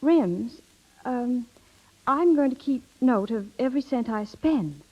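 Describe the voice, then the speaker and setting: A woman's voice: fairly high pitch, lively intonation, takes it slowly. An actress playing an earnest, affectionate young woman in a 1930s radio drama, speaking hesitantly and often repeating words. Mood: earnest, exasperated. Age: young adult. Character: earnest, affectionate young woman, sweetheart turned budget-minded wife. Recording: dull 1930s radio broadcast recording.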